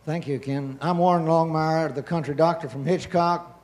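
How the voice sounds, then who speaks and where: An older man, a country doctor, introducing himself on a panel in a hoarse, folksy, matter-of-fact way. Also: fairly high pitch, fairly even intonation, moderate pace, darkish tone.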